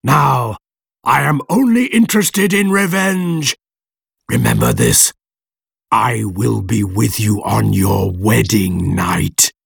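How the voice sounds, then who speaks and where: A man voicing a bitter, vengeful monster in an audiobook, slow, threatening and commanding. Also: fairly low pitch, sing-song, gravelly, husky, no echo, studio quality, full character voice.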